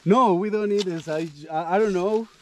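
A man from Colombia whose first language is Spanish, guiding a hike and explaining local plants in halting English. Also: sits high, a little mellow, slight background noise. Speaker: local guide. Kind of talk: casual explaining. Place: outdoors in mountains.

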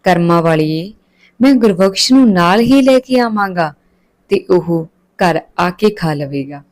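A woman voicing a calm, decisive elderly village father in a narrated family story. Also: lowish voice, unhurried. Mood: calm, resolved.

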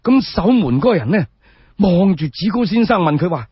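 Animated, high-voiced male Cantonese storyteller. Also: normal speed, husky, dry recording. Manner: colloquial, expressive, chatty asides to listeners. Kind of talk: dramatic solo Cantonese radio storytelling. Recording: dull lo-fi radio recording.

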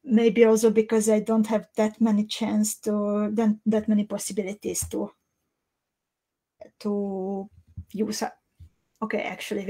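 A woman in her thirties with a Hungarian accent, a native Hungarian speaker, chatting casually and haltingly as she hosts a livestream. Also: medium pitch, warm tone, breathy edge. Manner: halting, thinking aloud. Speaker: host of a live beading tutorial. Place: small indoor craft room.

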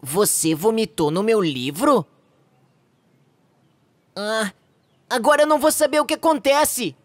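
A man's voice: sits high, unhurried, no room sound. A man with a Brazilian accent voicing a weary, exasperated big cartoon dinosaur who sighs and complains plaintively. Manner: sighing, plaintive, long-suffering. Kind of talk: cartoon voice acting.

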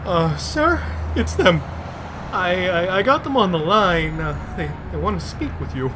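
Junior cop acted by a man: high, lively voice, flustered and stammering, under very loud street noise. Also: a little slow. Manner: hesitant, nervous stammering. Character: flustered, obedient male junior police officer. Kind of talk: radio play acting.

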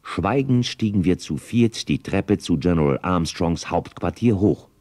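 An actor playing an observant deputy who narrates a western audio drama in dramatic first person. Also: male voice, low-pitched, lively intonation, a little slow, a little mellow, dry recording. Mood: suspicious, intriguing. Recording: noisy transfer of old audio drama.

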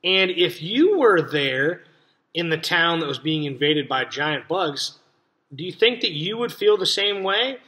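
A young man with an American accent and a somewhat high voice. He talks casually to children as a teacher would, in simple explanatory phrases with questions to the listener. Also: big pitch swings, a little slow, pushed and bright. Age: young adult. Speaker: read-aloud teacher and host. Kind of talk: casual talk to young children about a story. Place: small cluttered room indoors.

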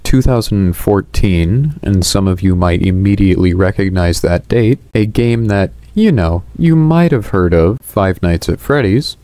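Slow-talking, low-voiced young man. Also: dark tone, no echo. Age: 20s. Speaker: video essayist. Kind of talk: semi-scripted video essay narration. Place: small indoor room. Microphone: headset boom mic.

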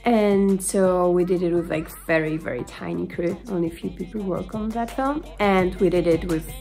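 Low gravelly woman speaking earnest English. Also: steady tone, moderate pace, a little mellow, soft background music. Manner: earnest, plain non-native English with small slips. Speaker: film director. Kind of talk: video call interview. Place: home room indoors.

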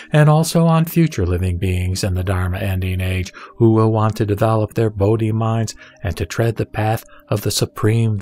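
A man reading a dramatised Buddhist scripture as narrator, in a measured, solemn and formal delivery. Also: on the low side, a little mellow. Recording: background music under the voice. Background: music.

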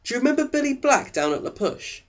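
A man's voice: very high voice, big pitch swings, quick pace, voice pushed up. A man voicing an awkward middle-aged police chief father, coaxing and eager to please, in an audiobook reading. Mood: eager, evasive. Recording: dull, lo-fi sound.

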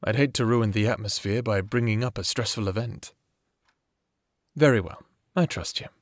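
A man playing an attentive, gentle therapist in an audio roleplay, measured, polite and probing. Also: on the low side, dry recording, clean studio sound, lightly acted. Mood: pleased. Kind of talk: audio roleplay acting, one-sided conversation.

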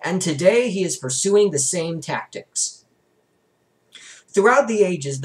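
A man's voice: fairly high pitch, poor audio. A young adult man reading a book aloud expressively, with an emphatic, declamatory delivery. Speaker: reader, vlogger. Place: small bedroom, indoors.

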